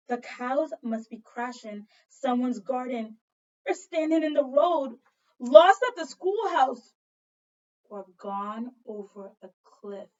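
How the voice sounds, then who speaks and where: A woman teacher doing an expressive read-aloud for children, telling the story dramatically and prompting listeners with questions. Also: sits high. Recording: dull lo-fi sound, distant from mic.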